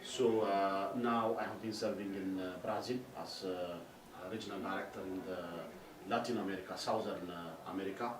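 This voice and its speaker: A man in his forties from Ethiopia, an airline regional director answering interview questions haltingly with many fillers and restarts. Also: sits low, steady tone. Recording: distant roomy mic with background noise.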